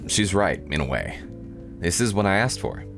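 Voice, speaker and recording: Visual novel protagonist: sardonic young guy read by a man, low-pitched, disappointed, dryly narrating. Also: very animated, normal speed, gravelly, fairly bright tone, soft background music, exaggerated voice. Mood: resigned. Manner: dry, incredulous, narrating.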